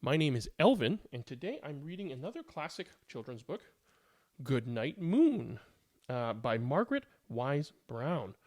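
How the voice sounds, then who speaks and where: A man with a gravelly voice, hosting and reading bedtime picture books aloud for young children. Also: mid-range voice, very animated, measured pace, darkish tone, no room sound, clean studio sound. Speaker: host of a children's read-aloud show. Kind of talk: casual chat and bedtime picture book read-aloud.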